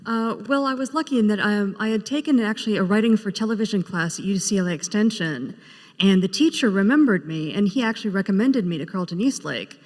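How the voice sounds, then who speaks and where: A woman's voice: mid-range voice, fast talker, darkish tone. A woman speaking as a writer guest on a panel, answering in a casual, explanatory way. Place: large indoor convention panel room. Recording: echoey hall with long reverb.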